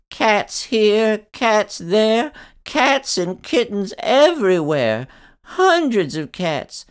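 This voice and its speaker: A man doing a very old woman, high and slow. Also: clear, resonant tone, full character voice. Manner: high quavering drawn-out pleading. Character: lonely very old woman, pleading. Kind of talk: storybook reading.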